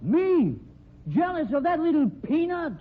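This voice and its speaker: An actor playing a vain, indignant sword swallower with an Italian accent and blustering broken English, in an old-time radio drama. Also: male voice, extremely high pitch, big pitch swings, a little slow, full character voice. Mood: scornful bluster. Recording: thin, phone-like vintage radio recording.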